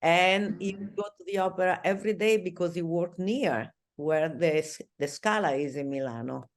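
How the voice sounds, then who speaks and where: Italian woman interviewer, low-pitched, admiring, halting phrasing. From Italy. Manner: admiring, searching for words.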